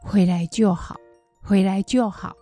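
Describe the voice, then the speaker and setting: A woman playing a kindly grandmother in a children's educational radio drama, with a husky, plain-spoken delivery. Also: mid-range voice, steady tone, a little slow, warm tone, dry recording, soft background music, close to an everyday voice. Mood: caring. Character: kindly grandmother raising her grandchildren, fond of fish heads.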